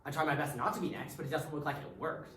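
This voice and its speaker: A woman's voice: deep voice, fairly even intonation, fast talker, close to an everyday voice. A woman acting in a readers theatre piece with rehearsed, theatrical delivery. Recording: roomy stage recording with background hiss.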